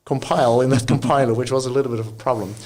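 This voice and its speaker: A man in his fifties with a Dutch accent, a professor telling his career story as a podcast guest in a relaxed, self-deprecating way. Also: mid-range voice, racing. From Netherlands. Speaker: guest, software engineering professor. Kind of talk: casual podcast interview.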